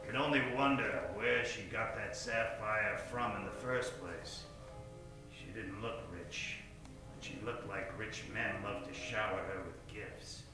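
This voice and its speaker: A man's voice: lowish voice, steady tone, a little slow, close to an everyday voice. A man acting a brash, wisecracking hardboiled private detective in a noir radio parody. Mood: brooding narration. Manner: brash, swaggering. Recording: echoey, distant live stage with music. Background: music.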